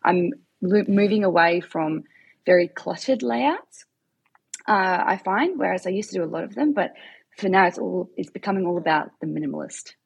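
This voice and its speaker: A woman's voice: lowish voice, lively intonation, moderate pace, vocal fry, husky, poor audio. A woman, chatting as a podcast guest, talking freely with frequent fillers and easy laughter. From South Africa, raised in Australia. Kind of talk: casual interview chat.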